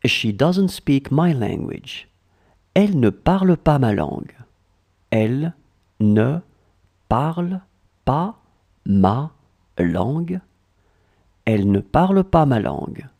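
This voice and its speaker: A language tutor voice reading short phrases in slow dictation, repeating each one and splitting it into chunks. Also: medium pitch, very animated, creaky, mellow.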